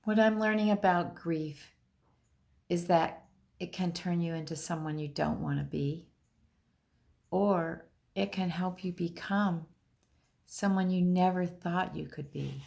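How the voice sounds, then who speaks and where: Low, creaky woman reading poetry slowly. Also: a little mellow. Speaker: poetry reader. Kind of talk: solemn spoken poetry reading.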